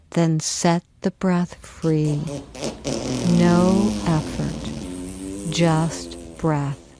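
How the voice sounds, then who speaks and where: A woman teacher leading a guided yoga relaxation in a soothing, unhurried, instructional manner. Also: on the low side, warm tone.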